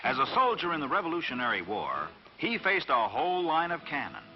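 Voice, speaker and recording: A man narrating a scripted children's folk tale in a dramatic, expressive storytelling manner. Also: fairly high pitch, a little fast. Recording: dull vintage recording, faint music behind.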